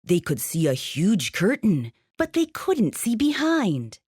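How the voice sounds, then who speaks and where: A woman narrating a scripted rhyming children's story in an expressive, sing-song manner. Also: medium pitch, slow pace, breathy edge, dry recording, studio quality.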